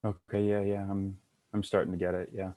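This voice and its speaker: A man's voice: deep voice, little pitch movement, fairly quick, dark tone, lightly acted. A man playing a laconic, easygoing young friend in a screenplay table read. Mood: casual, dry.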